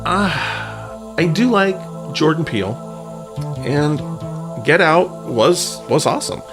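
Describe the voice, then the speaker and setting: A man's voice: a little high, animated, slow, music in the background. A man hosting a podcast in a casual, wry, self-deprecating and informal way. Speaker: podcast host. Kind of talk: casual podcast talk with read-out news items.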